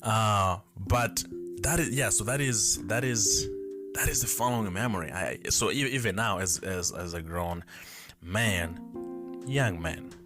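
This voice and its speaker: A young man in his twenties with a deep, low voice hosts his own podcast, recalling early memories in a loose, rambling way. He often stops to correct himself and thinks out loud. Guitar music plays clearly behind him. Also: a little slow. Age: 20s. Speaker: podcast host. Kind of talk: casual solo storytelling. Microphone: camera mic.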